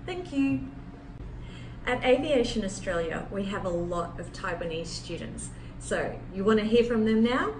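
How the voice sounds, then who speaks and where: A woman's voice: medium pitch, animated, measured pace, distant mic. A woman, a business development manager, gives a welcoming promotional talk to camera in an indoor office. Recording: roomy sound from off-mic, clear background noise.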